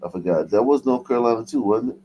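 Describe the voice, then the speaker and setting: A man's voice: mid-range voice, lively intonation, fast talker, highs cut off. A man hosting a casual livestream news commentary, talking bluntly with profanity and street slang. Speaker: show host. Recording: noticeable background noise.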